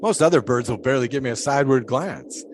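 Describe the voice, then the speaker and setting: An actor playing a pious, philosophical 1920s flagpole sitter who talks in a folksy, preachy way. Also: male voice, medium pitch, lively intonation, quick pace, background noise, close to an everyday voice. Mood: musing. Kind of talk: audio drama acting.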